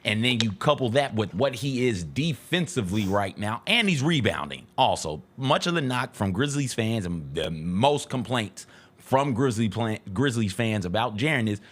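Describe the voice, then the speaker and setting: A man's voice: on the low side, animated, normal speed. An American man co-hosting a casual sports talk show in a studio, speaking emphatically and repeating phrases for emphasis. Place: radio-style studio.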